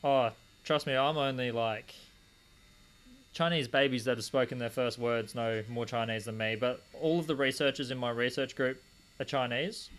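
A man's voice: mid-range voice, steady tone, normal speed, fairly bright tone, clear, resonant tone. A young man hosting a live stream from a small home desk corner, chatting casually and answering chat comments aloud while he works. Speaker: stream host polishing gemstones.

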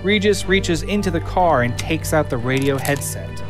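A man's voice: fairly high pitch, expressive, normal speed, music in the background. A man narrating a scripted audio drama, reading screenplay action.

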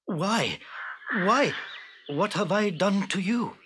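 A man's voice: sits high, animated, slow pace, fairly bright tone, breathy edge, voice pushed up, heavily acted. A man voicing a poor, timid fisherman in a storybook, with a pleading, exclamatory manner. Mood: frightened, pleading. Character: poor, frightened fisherman pleading for his life. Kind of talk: children's storybook character voice.

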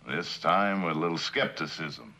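An actor playing a shrewd, skeptical middle-aged district attorney in a 1960s TV drama, speaking formally and pointedly. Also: male voice, rumbling low, expressive, moderate pace, heavy background noise. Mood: earnest, skeptical. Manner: formal, probing, pointed questioning. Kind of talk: 1960s television courtroom drama acting.

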